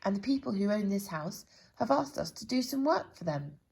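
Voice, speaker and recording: A woman acts out a fussy, bossy storybook tidy man. He declares everything briskly and emphatically, with a husky, creaky voice. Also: medium pitch, very animated, a little mellow, full character voice. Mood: businesslike.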